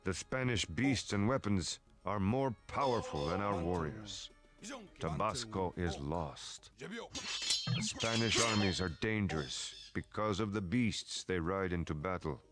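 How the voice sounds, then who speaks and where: A man playing a proud, stern Aztec war commander in a video game, speaking in a declamatory, deliberate way. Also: low voice, animated. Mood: stern, concerned. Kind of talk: scripted game dialogue. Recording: background music under the voice.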